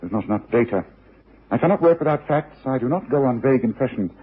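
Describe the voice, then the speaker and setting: An actor playing a brisk, exacting private detective with a British accent, clipped and authoritative, in a radio drama. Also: male voice, medium pitch, expressive, slightly rough. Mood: brisk, thoughtful. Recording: muffled, tinny old radio recording.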